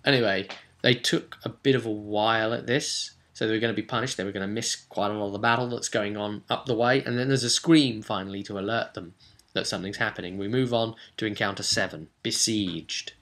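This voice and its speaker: A man's voice: on the low side, fairly even intonation, a little fast, fairly bright tone. A man giving a casual, unscripted game master's recap, telling it in a rambling, enthusiastic way and often correcting himself.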